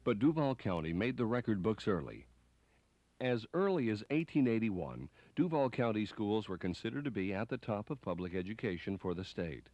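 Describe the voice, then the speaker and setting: A man's voice: low-pitched, a little mellow, no echo, highs cut off. A man reading scripted narration as the narrator of a documentary.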